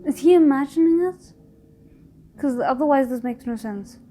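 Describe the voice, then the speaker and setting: A woman's voice: sits high, moderate pace, dark tone. A woman hosting a reaction video, thinking aloud in casual commentary and often addressing the characters directly.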